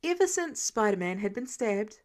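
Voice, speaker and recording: A woman reading fiction aloud in an expressive audiobook narration style. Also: mid-range voice, normal speed. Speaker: fan fiction reader.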